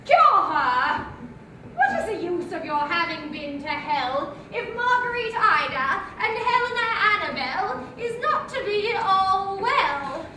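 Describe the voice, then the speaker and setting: A woman's voice: extremely high pitch, big pitch swings, measured pace, background noise, full character voice. A woman playing a country woman who speaks in a coaxing, lilting way, heard from far off in an echoey hall.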